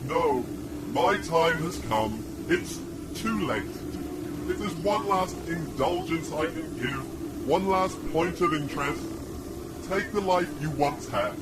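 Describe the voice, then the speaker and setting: Weary cursed man voiced by an actor, higher-pitched. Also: animated, slow pace, very noisy. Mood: solemn, resigned. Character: cursed dying man turned into dishwasher, weary, solemn. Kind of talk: audio drama acting.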